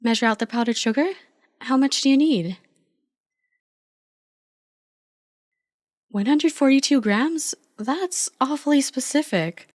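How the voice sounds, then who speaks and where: A woman's voice: high-pitched, moderate pace, dry recording, clean studio sound. A woman playing an affectionate, playful girlfriend in an ASMR roleplay, chatty, teasing and supportive as an eager but clumsy baker. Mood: playful, amused.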